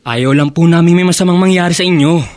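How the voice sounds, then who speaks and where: A man plays a devoted young Filipino grandson in a radio drama, earnest and tender. His voice is notably high for a man, recorded close and dry. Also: fast talker, darkish tone, dry recording, natural voice. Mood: earnest, worried. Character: earnest, affectionate young grandson, worried and loving.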